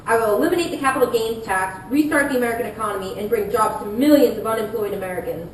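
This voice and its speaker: A woman's voice: fast talker, close to an everyday voice. A woman playing a combative male conservative presidential challenger, making accusatory scripted speeches in a puppet show debate. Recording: echoey room, distant mic, rumbling noise.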